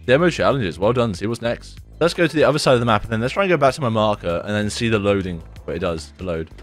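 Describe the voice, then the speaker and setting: A man in his twenties, chatting casually over gameplay in his bedroom, his voice a little deeper and rough after a sore throat. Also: animated, fast talker, faint music behind. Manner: chatty, rambling, says "like" and "dude" a lot. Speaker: gaming YouTuber. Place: bedroom at home, indoors.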